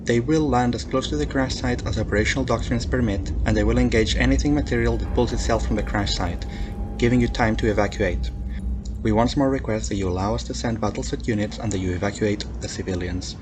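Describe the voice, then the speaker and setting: A man's voice: fairly low pitch, a little fast, natural voice. Man playing a stern alien commander over heavy noise. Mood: grave, commanding. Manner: formal, clipped, authoritative. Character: stern alien military supreme commander, measured and formal. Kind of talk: dramatic radio transmission reading. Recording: noisy hum behind a transmission.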